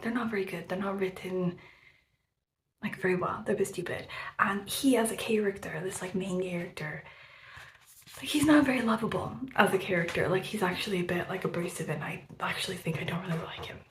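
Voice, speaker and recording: A woman vlogger talks through a book in a loose, rambling way, stacking fillers and casual swearing. She often slips into a breathy half-whisper. Her voice sits at a middle pitch and carries a roomy echo over a faint background hiss. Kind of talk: casual book review chat.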